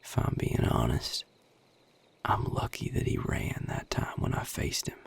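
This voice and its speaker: A man playing a guarded, world-weary vampire in an intimate audio roleplay, with a Southern US accent and a breathy, gravelly drawl, speaking in measured, hushed phrases. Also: whispered, natural voice. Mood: grave warning. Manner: measured, hushed, plain-spoken with deliberate pauses. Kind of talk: intimate audio roleplay acting addressed to the listener.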